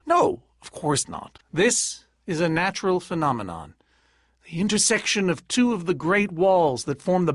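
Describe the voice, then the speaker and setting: A man's voice: a little high, no echo, studio quality, heavily acted. A man playing a video game's aging legendary architect, a grandiose, evasive former leader who is given to stammering. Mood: calm, explanatory. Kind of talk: video game character acting.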